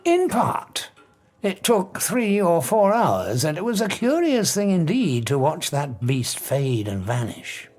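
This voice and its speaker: A man's voice: on the high side, wildly swooping pitch, takes it slowly, a little mellow, full character voice. An actor playing an obsessive, cold scientist who tells his story with theatrical, savouring relish in an audio drama. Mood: coolly reminiscing. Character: obsessive scientist, invisible man, darkly exultant and cold.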